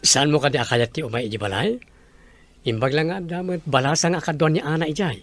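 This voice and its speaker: A man's voice: a little high, fast talker, fairly bright tone, faint music behind. A man acting a kind, fatherly suitor in an audio drama, speaking with an Ilocano accent. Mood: friendly. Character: kind, fatherly man courting a village mother.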